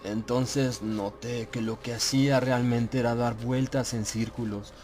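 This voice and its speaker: A man narrating a horror audiobook in a solemn, measured, suspenseful way. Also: mid-range voice, fairly even intonation, darkish tone. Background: prominent background music.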